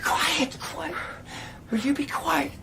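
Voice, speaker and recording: An actor playing a frightened, zealous street preacher in a radio drama, fretful and rambling, with biblical phrasing and repeated words. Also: male voice, very high voice, slow pace, gravelly, full character voice. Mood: desperate. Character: frightened, zealous street preacher clinging to faith amid apocalypse. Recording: loud ambient noise behind the voice.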